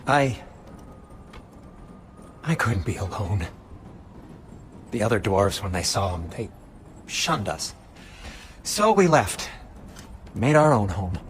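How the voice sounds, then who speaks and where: Wry dwarf with swooping pitch. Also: male voice, big pitch swings, slow, pauses often, a little hiss or hum. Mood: remorseful. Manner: wry, conversational, sardonic. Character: wry, guilt-ridden male dwarf craftsman, adult. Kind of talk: naturalistic video game acting.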